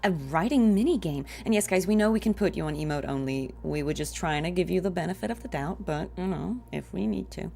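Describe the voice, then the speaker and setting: A woman livestreaming a game, chatting casually and reacting to it in an expressive, informal way. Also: on the low side, a little fast, gravelly, hardly any pauses, husky. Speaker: livestreamer, voice actor. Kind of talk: casual chat and reactions while streaming a game.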